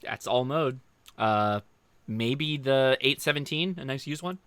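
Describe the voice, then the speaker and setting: A man's voice: mid-range voice, a little slow, a bit bright, no room sound. An American man hosting a casual livestream, informally joking and talking directly to viewers as he answers their questions. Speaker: livestream host.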